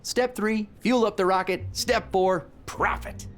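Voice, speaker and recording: A man's voice: sits high, steady tone, a little slow, soft background music. An actor playing an eager, proud young male engineer and rocket inventor in a video game, with a wry, casual manner. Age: young adult. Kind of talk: video game character dialogue.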